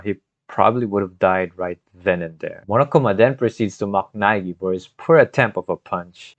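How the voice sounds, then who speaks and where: A man's voice: low-pitched, dry recording. A man narrating a scripted video game story recap in a storytelling manner, recorded on a home microphone. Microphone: home microphone, scuffed in parts. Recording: dry, dull lo-fi home microphone.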